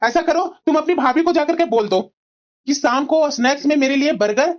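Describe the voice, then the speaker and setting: A woman's voice: sits high, brisk, close to an everyday voice. A woman voicing a pompous, entitled, complaining young son-in-law in a cartoon family drama. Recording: dull, lo-fi sound.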